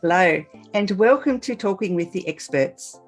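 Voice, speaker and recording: A woman's voice: on the low side, animated, moderate pace, soft background music. A woman hosting a business podcast, reading a polished, upbeat intro and then asking questions. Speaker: podcast host. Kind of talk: scripted podcast intro and interview.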